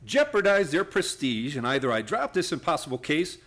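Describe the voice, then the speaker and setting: Man playing earnest, animated idealistic lawyer. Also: fairly high pitch, normal speed, full character voice. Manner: earnest, pleading. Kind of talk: stage-like radio play acting.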